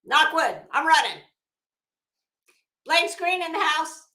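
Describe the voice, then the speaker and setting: Casting: female livestream host, middle-aged, slightly high and slow-paced, chatty and teasing, roomy echo. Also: very animated, sharp tone. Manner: chatty, teasing banter, salty language. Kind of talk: casual livestream chat, greeting viewers. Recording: echoey room.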